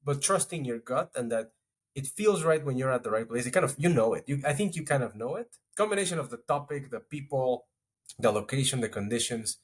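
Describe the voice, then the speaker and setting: A man's voice: mid-range voice, expressive, a little fast, husky. A man speaking as a podcast guest in a casual interview, reflective, with frequent restarts and filler words. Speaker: podcast guest, strategy executive.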